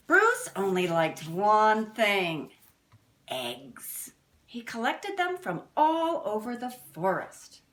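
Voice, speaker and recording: A woman reading a picture book aloud to young children like a teacher, expressively, pausing to explain things. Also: mid-range voice, fairly bright tone. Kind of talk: read-aloud storytelling with asides to children. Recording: roomy indoor echo.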